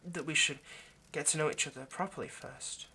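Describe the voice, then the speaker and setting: A man's voice: on the high side, fairly even intonation, a little fast, gravelly, sharp tone, exaggerated voice. A man playing a nervous, centuries-old vampire kidnapper in an intimate ASMR roleplay, hesitant, stammering and apologetic as he speaks to the listener. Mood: lovesick pleading. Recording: faint background noise.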